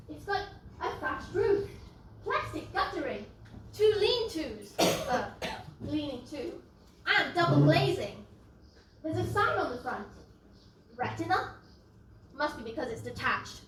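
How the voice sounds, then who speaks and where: A high, nervous young-heroine stage voice acted by a woman in an amateur pantomime, exclaiming theatrically. She is heard from a distance in an echoey space, speaking at an unhurried pace. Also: slight background noise. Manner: theatrical, exclaiming, asking the audience questions. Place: theatre stage, recorded from the audience. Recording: echoey stage recorded from far, loud music.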